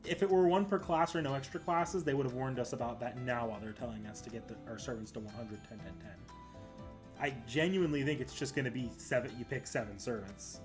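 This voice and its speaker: A man's voice: medium pitch, quick pace. A man hosting a livestream, chatting casually with viewers and rambling as he thinks aloud. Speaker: livestream host. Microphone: desktop mic at a computer. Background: music.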